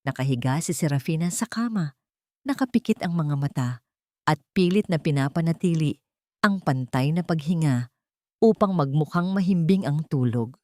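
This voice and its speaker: A woman narrates a scripted drama in a fairly low voice. The recording is dry and close, with very little room sound. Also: lively intonation, moderate pace, a little mellow, no echo.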